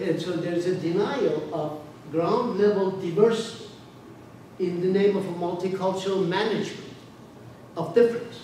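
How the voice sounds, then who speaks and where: An older man from Turkey speaking as a guest lecturer in a room discussion, halting, with many restarts and fillers. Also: sits high, mellow. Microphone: podium microphone, standing. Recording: echoey room, distant mic, faint noise.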